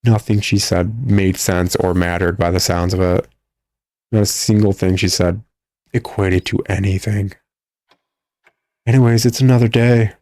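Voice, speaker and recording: A man's voice: low voice, fairly even intonation, a little slow, pauses often, darkish tone, no room sound. A man giving sarcastic, exasperated gameplay commentary. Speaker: gamer commentating a playthrough. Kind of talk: mocking gameplay commentary, reading game text aloud.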